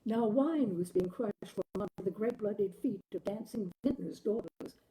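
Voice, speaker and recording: A woman reading a literary short story aloud with expressive, dramatic delivery. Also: medium pitch, normal speed, background noise. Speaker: story reader, show host. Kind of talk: literary audiobook-style story narration.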